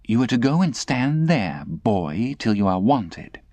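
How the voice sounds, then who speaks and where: A haughty young girl from a classic storybook, as a man reads her. The voice is lifted high and swings sharply, goading and imperious in clipped questions. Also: sing-song, a little slow, darkish tone, no room sound. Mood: commanding, disdainful. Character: taunting, haughty young girl who sneers at a boy.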